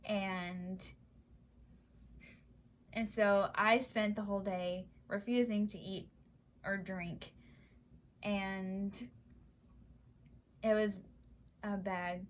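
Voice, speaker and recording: Young woman, vlogger, slow unhurried talk, halting with lots of ums, faint background hum. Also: mid-range voice, fairly even intonation. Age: young adult. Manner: halting, many fillers and restarts. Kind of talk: casual personal account.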